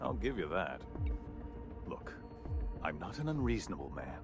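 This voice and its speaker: A man's voice: deep voice, very animated, normal speed, music in the background. An actor playing a smug, callous corporate chairman in a video game, pompous and scornful in his delivery. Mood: grudging, bargaining. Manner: pompous, scornful, swooping emphasis. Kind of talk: video game cutscene acting.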